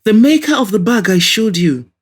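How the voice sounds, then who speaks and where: A woman voicing an excitable, cheeky female neighbour who exclaims emphatically in an audio drama. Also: medium pitch, normal speed, husky, voice pushed up. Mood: amazed, excited.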